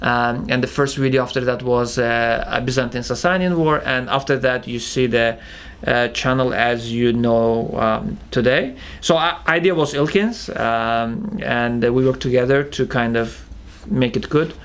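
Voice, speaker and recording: A man's voice: mid-range voice, a bit bright, clean tone. Man with a thick Russian accent. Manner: halting, many fillers and restarts. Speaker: channel co-founder hosting Q&A. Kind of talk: casual Q&A chat.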